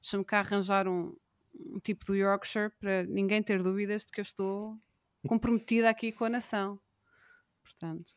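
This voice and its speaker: A woman's voice: mid-range voice, steady tone, dry recording, studio quality. A woman in her thirties with a Lisbon Portuguese accent, chatting casually and wryly as a podcast guest, with lots of fillers. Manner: wry, self-mocking, fast rambling with fillers. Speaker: guest reporter.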